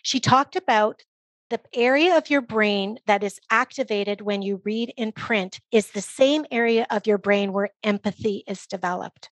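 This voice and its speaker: Woman guest expert explaining stuff in an interview, mid pitch, really dry sound no room. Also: normal speed, dry recording, poor audio. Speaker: literacy program guest expert. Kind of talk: conversational interview answers.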